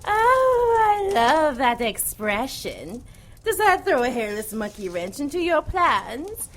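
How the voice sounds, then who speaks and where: A cruel, seductive demoness in an audio drama. She draws out her taunts slowly and swoops through her pitch with theatrical relish, over noticeable background noise. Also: sing-song, fairly bright tone, full character voice. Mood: gloating. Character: hideous female demon, cruel, mocking, seductive and vengeful.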